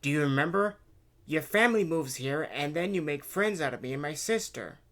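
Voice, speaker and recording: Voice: mid-range voice, lively intonation, normal speed, a bit bright, natural voice. A narrator voicing a helpful, self-assured schoolboy who explains things patiently like a know-it-all in a story. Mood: placating.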